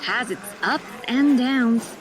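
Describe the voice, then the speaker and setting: An actress playing a new young female nurse who is blunt and sharp-tongued. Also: fairly high pitch, takes it slowly, music in the background. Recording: noisy background.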